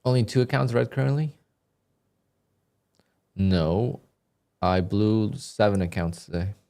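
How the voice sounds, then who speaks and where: A man with a very low voice talks his viewers through a live trading session. His delivery is flat and dry, and it sounds a touch irritated even when he says he is pleased. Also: takes it slowly. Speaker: day trader livestreamer. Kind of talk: live stream commentary, reading donations.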